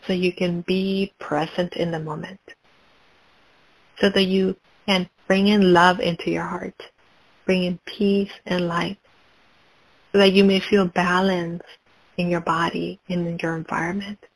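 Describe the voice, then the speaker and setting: A woman leading a guided meditation, speaking in slow, calm, repetitive prompts. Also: lowish voice, poor audio.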